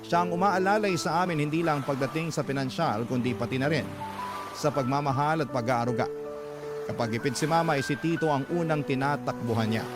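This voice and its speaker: Guy narrating a dramatic story, medium pitch, music playing behind him. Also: brisk. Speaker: narrator of a listener's letter.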